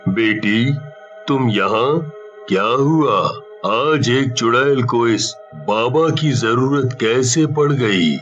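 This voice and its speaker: Man voicing a grave, slow old hermit. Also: medium pitch, animated, a bit bright, highs cut off, faint music behind. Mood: calm concern. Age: older. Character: stern old holy hermit, grave protector.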